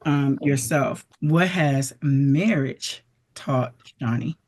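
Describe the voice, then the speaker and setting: A woman's voice: very deep voice, slow, a little mellow. A woman hosting an interview, enthusiastic and affirming, with many encouraging interjections. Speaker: interview host. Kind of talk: casual interview conversation.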